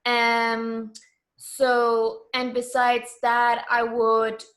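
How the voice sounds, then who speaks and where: High, even-toned woman being interviewed. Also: drawn-out words. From Germany. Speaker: interview guest. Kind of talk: casual explanatory interview talk.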